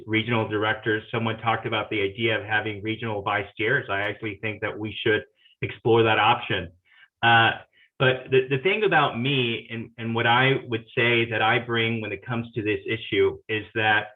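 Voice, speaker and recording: A man from Guatemala answering as a party officer candidate at a forum, firm and determined, with frequent fillers. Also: mid-range voice. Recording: tinny phone-like video call line.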